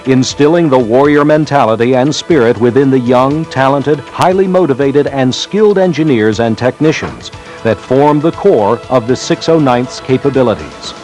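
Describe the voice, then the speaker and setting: A man narrates a military training film in a formal, scripted documentary style, with measured, emphatic phrasing. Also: mid-range voice, lively intonation, a little mellow. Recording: 1990s training film soundtrack. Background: background music.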